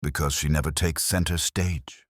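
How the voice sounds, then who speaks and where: A man plays a courteous, thoughtful police inspector from a detective audiobook. The voice is very deep and husky, with calm, deliberate phrasing that lends each remark some weight. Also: dry recording, studio quality. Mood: calm, musing.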